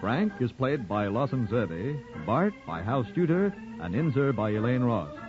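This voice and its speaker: A man's voice: mid-range voice, very animated, measured pace. A man reading scripted announcements in polished 1940s radio style. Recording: dull old radio broadcast recording. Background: music.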